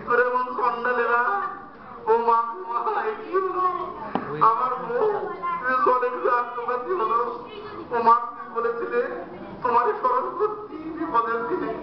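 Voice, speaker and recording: A man's voice: very high-pitched, measured pace, crowd noise. A man playing a quarrelsome, teasing poor woodcutter husband in a comic drama, with rustic, exclamatory speech. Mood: wailing. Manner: rustic, colloquial, exclamatory bickering. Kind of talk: comic Bengali stage-style drama acting. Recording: distant, echoey, dull, noisy hall recording.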